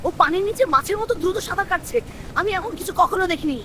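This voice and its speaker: A man voicing an excitable young cartoon character with urgent, exclamatory delivery. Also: very high-pitched, very fast, slight background noise. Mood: amazed. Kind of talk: cartoon dub dialogue.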